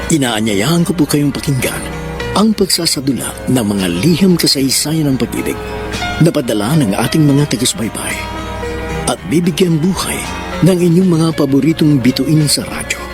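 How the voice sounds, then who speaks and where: Lively, dramatic male radio drama host. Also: a little high, moderate pace, over music. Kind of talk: scripted radio introduction.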